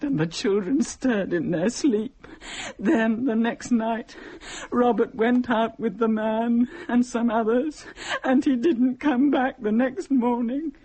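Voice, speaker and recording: A desperate young mother from centuries past in a radio drama. Her voice is husky and worn. She recounts her hardship formally and haltingly, heavy with anguish and despair. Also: medium pitch, a little mellow. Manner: anguished, halting, formal old-fashioned storytelling. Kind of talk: dramatic radio play monologue.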